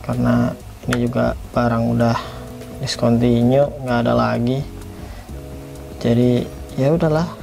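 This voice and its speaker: A man's voice: mid-range voice, level tone, measured pace, creaky, long pauses, music in the background. A man giving a casual, unscripted product review, rambling a little and repeating himself as host. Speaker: toy reviewer, video host.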